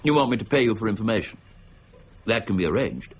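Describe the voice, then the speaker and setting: Man playing proud older scholar, very low voice, impatient and pompous, swooping intonation, period radio drama. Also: lively intonation, quick pace, dull audio, a little hiss or hum, natural voice. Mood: brisk, curt. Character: irascible, proud older scholar and mint warden.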